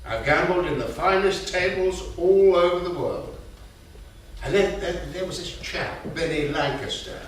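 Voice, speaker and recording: An actor playing an elderly, fond and wistful grandfather, telling old gambling stories with hesitant pauses in a staged reading. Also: high-pitched. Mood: nostalgic. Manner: unhurried storytelling with hesitant pauses and endearments. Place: theatre stage. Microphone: distant room mic. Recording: roomy echoing stage recording, distant mic, hiss.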